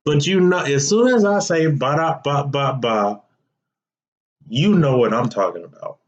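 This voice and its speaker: A young man hosting his own podcast in a casual, relaxed, conversational way. He talks straight to his listeners. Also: mid-range voice, measured pace, lo-fi sound. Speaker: podcast host. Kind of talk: casual solo podcast talk.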